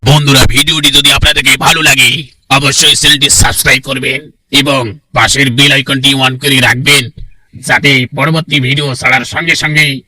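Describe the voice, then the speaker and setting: A man's voice: medium pitch, flat delivery, a little fast, sharp tone, no room sound. A man speaking as a channel host, delivering a scripted outro to viewers, recorded with a distant sound.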